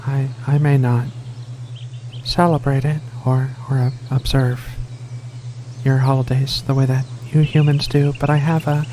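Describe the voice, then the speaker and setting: Gentle alien feline woman as acted by an ASMR performer: soft, very slow, hesitant, curious; ambient noise behind. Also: mid-range voice, little pitch movement, close to an everyday voice. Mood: curious, warm. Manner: hesitant, thoughtful, slow pauses between phrases. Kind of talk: ASMR roleplay.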